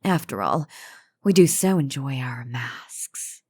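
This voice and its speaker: A woman playing an elegant, calm and menacing vampire noblewoman in a scripted roleplay audio drama, teasing and condescending in genteel, formal phrases. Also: extremely low pitch, slow, husky, dry recording, clean studio sound, full character voice. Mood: coy, mocking.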